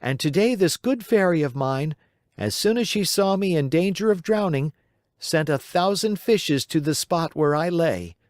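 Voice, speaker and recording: Cheeky puppet boy, read by a man, high, lively, impish storybook voice. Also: normal speed, dry recording, studio quality, natural voice. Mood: storytelling.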